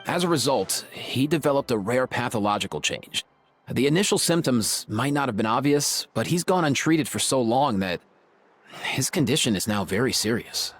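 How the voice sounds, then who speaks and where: A man's voice: medium pitch, lively intonation, moderate pace, lightly acted. A man voicing a laid-back, caring doctor in a game, with slangy, chilled-out phrasing. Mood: assured, explaining. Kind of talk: English game voice acting.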